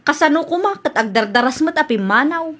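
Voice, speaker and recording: A woman's voice: sits high, lively intonation, brisk, a bit bright. A woman acting a suspicious, scolding wife in an Ilocano radio comedy drama. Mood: exasperated. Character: nagging, suspicious wife accusing her neighbour of theft.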